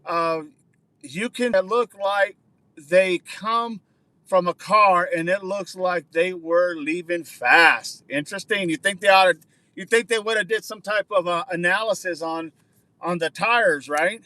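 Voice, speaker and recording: A man's voice: sits high, unhurried, sharp tone, no echo, low-quality recording. A man hosting a casual livestream outdoors, chatting with viewers and answering their comments, often repeating words for emphasis. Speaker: livestream host.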